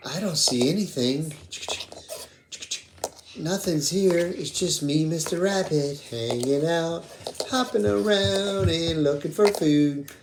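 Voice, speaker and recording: A woman's voice: sits low, takes it slowly. A woman voicing a mild, lonely male rabbit in a children's puppet show, musing simply to himself. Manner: simple narrated musing with little hummed tunes. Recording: clearly noisy home recording.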